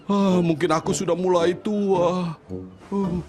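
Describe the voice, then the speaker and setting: A man's voice: sits high, flat delivery, slow pace, music in the background. A man voicing an aging, proud harbour crane in a children's cartoon dub, defensive, flustered and full of exclamations. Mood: flustered worry.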